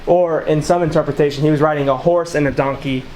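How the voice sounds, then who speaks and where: A man's voice: a little high, fairly even intonation, fast talker. A man leading an informal Bible study, reading scripture passages aloud and explaining them conversationally to a small group. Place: small room with a group of listeners.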